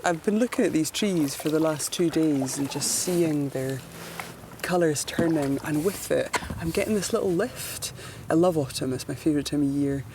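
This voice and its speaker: A Scottish woman talking in a relaxed, reflective way while floating down a river outdoors. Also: deep voice, normal speed, noisy background. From Scotland. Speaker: presenter, endurance cyclist. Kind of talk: casual outdoor narration.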